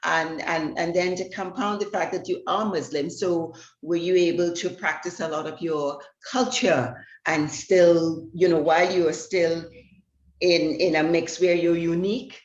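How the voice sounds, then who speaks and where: Woman, interview host, slightly low pitch, conversational questioning, roomy echo. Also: fairly even intonation, a little slow. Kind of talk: warm-up introduction and interview chat. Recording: echoey room, dull audio.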